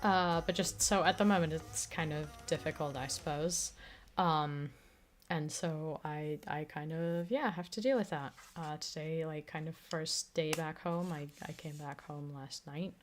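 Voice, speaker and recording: Woman, very low voice, slightly raspy throat, rambling casual stream chat, soft music behind. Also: measured pace. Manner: rambling, full of fillers and restarts. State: throat still recovering from illness, slightly raspy. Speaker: streamer and audio creator.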